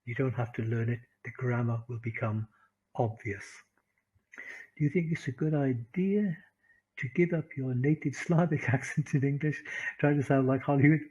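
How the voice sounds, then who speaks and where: A man with a Welsh accent teaching an online English class, animated, laughing often and repeating phrases for emphasis. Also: mid-range voice, normal speed, slightly rough, muffled recording. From Wales.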